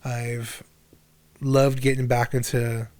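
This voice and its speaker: A man's voice: medium pitch, flat delivery, takes it slowly, a little mellow. A man in his twenties talking candidly and casually as a podcast guest, using slang and swearing freely. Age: 20s.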